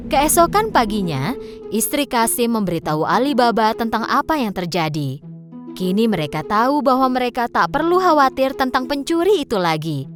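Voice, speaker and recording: A woman's voice: on the high side, very animated, normal speed, over music. A woman narrating a scripted fairy tale for children.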